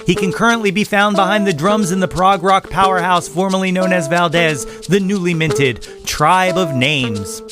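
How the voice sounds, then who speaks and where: A man's voice: sits high, moderate pace, few pauses, over music. A middle-aged American man hosting a podcast, effusive and affectionate, moving between scripted introduction and casual interview chat. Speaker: podcast host.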